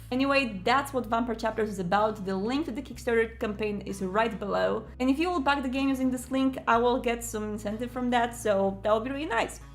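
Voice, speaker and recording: A woman hosting a casual video review, chatty and enthusiastic, with an informal, gushing way of talking. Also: on the high side. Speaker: YouTube host reviewing a board game.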